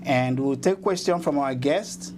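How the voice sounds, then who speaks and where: A man's voice: medium pitch, measured pace, background noise. A man hosting an interview show in a formal, announcer-like way. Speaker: host.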